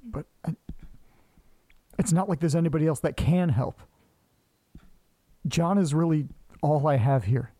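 An actor playing an anxious, lonely, self-doubting man who talks haltingly to himself in a horror audio drama. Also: on the high side, mellow, no echo. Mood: brooding, dejected. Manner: halting, muttering, talking to himself. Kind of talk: audio drama monologue, naturalistic acting.

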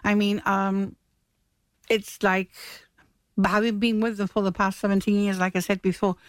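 A woman's voice: mid-range voice, level tone, normal speed, no echo. A woman chatting as a guest in a radio interview. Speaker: radio guest, stage manager. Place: radio studio.

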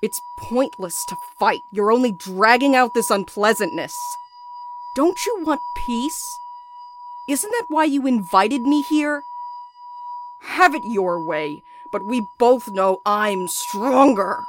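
A woman's voice: fairly high pitch, wildly swooping pitch, slow, over music, exaggerated voice. A woman acting in an audio drama as someone locked in a struggle over control of her own body. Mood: coldly menacing. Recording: hum and background noise.

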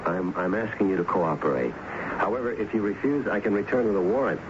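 An actor playing a courteous, patient police lieutenant in a 1970s radio mystery, speaking a bit haltingly. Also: male voice, on the low side, lightly acted. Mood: patient, firm. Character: polite, steady male police lieutenant, a detective. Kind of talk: 1970s radio drama acting. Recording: noisy, dull 1970s radio broadcast.

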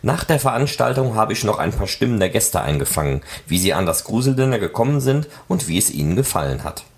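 A man's voice: lowish voice, sing-song, fast, gravelly, slight background noise. A man hosting a podcast, reading scripted narration. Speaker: podcast host.

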